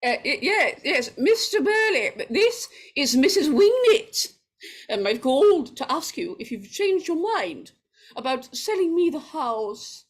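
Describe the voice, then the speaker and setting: A woman's voice: sits high, sing-song, unhurried. A woman acting an eager, polite lady caller in an old-time radio horror play.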